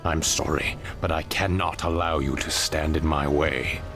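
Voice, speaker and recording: An actor playing a smug, menacing demon-elf villain in a fantasy video game, taunting and theatrical. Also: male voice, a bass voice, fairly even intonation, a little slow, over music. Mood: cold resolve.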